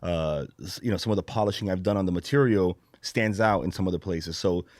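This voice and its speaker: Fast-talking, low-voiced man from Brazil. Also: darkish tone, dry recording, clean studio sound. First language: Portuguese. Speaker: podcast host and stand-up comedian. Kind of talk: casual podcast chat.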